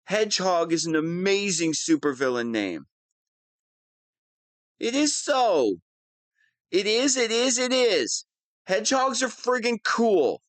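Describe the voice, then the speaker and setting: A man's voice: high voice, very animated, slow pace, pauses often, a bit bright, no room sound, heavily acted. A man playing a theatrical wannabe supervillain in an audio roleplay, pompous and smug with a petulant, whiny streak. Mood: indignant, whiny. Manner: pompous monologuing that slips into whining. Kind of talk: scripted audio roleplay monologue.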